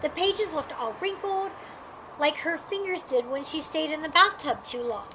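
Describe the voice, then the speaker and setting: Woman, high voice, animated children's storytime reading, expressive narration, noticeable background noise. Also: moderate pace. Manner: animated, acts out dialogue and sound words. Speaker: storytime reader. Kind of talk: expressive children's picture book read-aloud. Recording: clearly noisy background.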